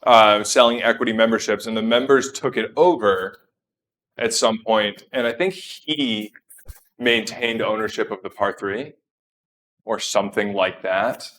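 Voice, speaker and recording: A man who has just turned thirty, talking in a relaxed, rambling way while playing golf outdoors. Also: fairly low pitch, unhurried, slight background noise. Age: 30s. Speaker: golf stream guest.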